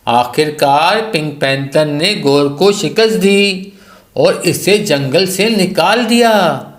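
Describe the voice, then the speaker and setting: A man narrates a children's story from a script. His voice sits a little high, the pace is very unhurried, and the sound is noticeably echoey. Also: lively intonation.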